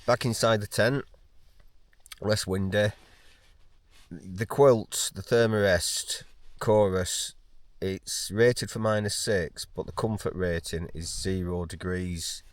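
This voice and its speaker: A man's voice: low voice, slow, no echo. A man chatting casually to camera while wild camping on a windy hill. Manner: relaxed, chatty, rambling with fillers. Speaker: hiking vlogger. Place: outdoors on a windy fell and inside a small tent. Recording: wind and outdoor noise.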